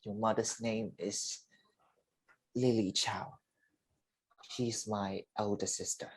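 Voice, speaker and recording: A man playing a weary, dying father in a staged play reading, speaking deliberately and with open emotion. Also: on the low side, steady tone, breathy edge, rough recording. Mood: solemn, confiding. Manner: slow, deliberate, emotive delivery. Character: dying, confined father, weary, pleading and confessional.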